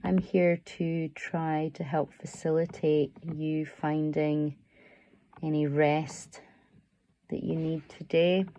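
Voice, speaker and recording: A woman guiding a relaxation meditation as a yoga teacher, soothing and unhurried, offering gentle, permissive instructions. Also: low-pitched, little pitch movement, dry recording.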